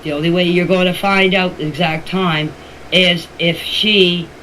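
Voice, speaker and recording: A woman's voice: on the low side, fairly even intonation, a little slow, fairly bright tone. An older woman talking informally in voice messages to a group chat, emphatic, opinionated and repeating herself. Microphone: phone voice message. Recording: noisy amateur voice message recording.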